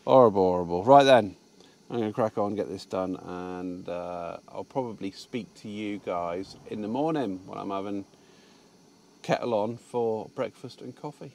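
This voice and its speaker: A man's voice: on the low side, moderate pace, gravelly, darkish tone, a little hiss or hum. A man chatting casually about his day while parked in his truck cab, rambling with fillers and restarts. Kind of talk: casual vlog chat.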